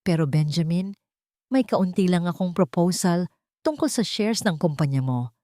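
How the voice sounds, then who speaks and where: A woman's voice: fairly low pitch, animated, moderate pace, mellow, dry recording, studio quality, lightly acted. A woman voicing a manipulative, ambitious bride-to-be who talks sweetly and persuasively in a narrated story. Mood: coaxing.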